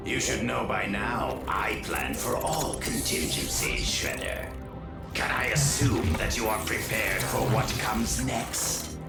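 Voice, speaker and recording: An actor playing a scheming, smugly commanding alien warlord general in a video game, with a deliberate, menacing delivery. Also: male voice, on the low side, animated, vocal fry, full character voice. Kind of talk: video game cutscene acting. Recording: echoing voice under loud music.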